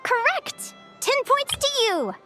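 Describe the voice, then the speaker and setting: A woman's voice: very high voice, huge pitch swings, takes it slowly, sharp tone, full character voice. A woman playing a cheerful young adventuring advisor who teaches in a bubbly, encouraging way, in an anime video game. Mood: upbeat. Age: young adult. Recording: faint music under the voice.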